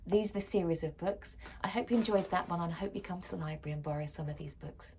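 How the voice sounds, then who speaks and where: A woman's voice: lowish voice, fast talker, darkish tone, slight background noise. A woman reading a children's story aloud expressively, as for a school library.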